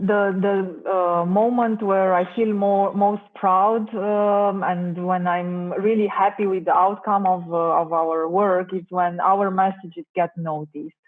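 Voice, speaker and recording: A woman from Romania, a native Romanian speaker, answering interview questions thoughtfully with many hesitations. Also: on the low side. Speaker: marketing director, guest. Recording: tinny phone-like call audio.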